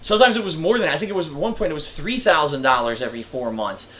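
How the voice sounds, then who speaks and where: A man with a congested, nasal voice delivers a blunt, profanity-laced rant. His voice sits high and has a sharp, bright edge. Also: expressive, very fast. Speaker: vlogger. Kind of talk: ranting monologue clip.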